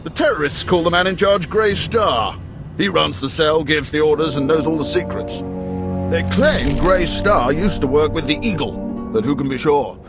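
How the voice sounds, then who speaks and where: An actor playing a wry, seasoned imperial station chief in a video game, speaking in a deliberate manner. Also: male voice, on the high side, expressive, over music. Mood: wry, scheming. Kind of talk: scripted video game dialogue.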